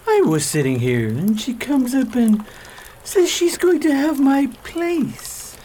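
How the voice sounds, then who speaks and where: Woman acting a blustering, swooping male pest, dark-voiced. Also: high-pitched, very animated, slow, exaggerated voice. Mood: indignant. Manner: blustering, needling, rough-spoken. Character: pushy, besotted male pest, crude and indignant. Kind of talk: radio theatre acting.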